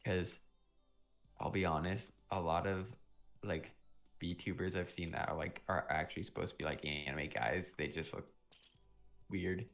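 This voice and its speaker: A young man on a live stream, chatting casually and rambling with lots of fillers, a little sick and often clearing his throat. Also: low-pitched, fairly even intonation, measured pace, long pauses, fairly bright tone. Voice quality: vocal fry. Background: music playing.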